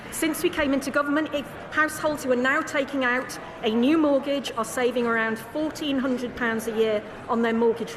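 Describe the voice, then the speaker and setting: A woman serving as secretary of state, confidently listing figures in a formal parliamentary answer in a debating chamber. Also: fairly high pitch. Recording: echoey chamber with murmuring crowd.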